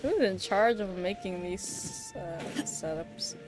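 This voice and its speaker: A woman's voice: mid-range voice, lively intonation, slow pace. A young woman chatting casually and giggling as she streams a video game. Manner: giggly, easily surprised. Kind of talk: casual livestream chat while gaming. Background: game music.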